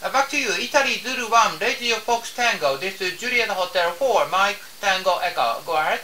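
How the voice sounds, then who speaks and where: A man from Japan with a Japanese accent, making a ham radio contact in halting, repetitive English and spelling out call signs. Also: high-pitched, expressive, pushed and bright, far from the mic. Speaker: amateur radio operator. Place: small home radio room. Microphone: desk radio microphone, camera picking up the room. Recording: roomy, off-mic, with faint hiss.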